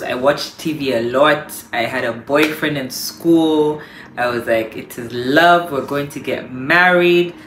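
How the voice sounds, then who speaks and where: A woman in her thirties from Uganda, a pastor giving lively, emphatic advice on a couch, repeating phrases for stress. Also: rumbling low. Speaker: pastor and guest. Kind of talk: casual advice talk. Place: living room, on a couch. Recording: roomy echo, faint music.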